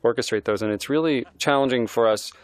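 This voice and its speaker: A middle-aged man, a film director, giving an admiring, halting film commentary. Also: mid-range voice, dry recording. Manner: thoughtful, admiring, halting restarts.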